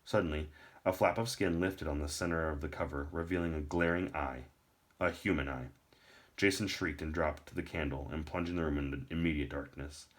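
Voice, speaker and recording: A man with a low-pitched voice reads a novel aloud as its narrator. The delivery is storybook narration, told in a deep register. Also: fairly quick.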